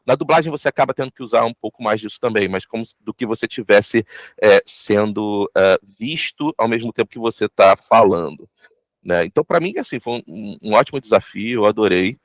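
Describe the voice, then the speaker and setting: A voice actor in a behind-the-scenes interview, talking thoughtfully and haltingly, with many restarts. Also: male voice, on the low side, no room sound.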